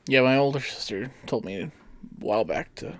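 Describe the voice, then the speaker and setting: Gravelly, animated man chatting casually. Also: mid-range voice, fairly quick. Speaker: podcast co-host. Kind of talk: casual chat with friends.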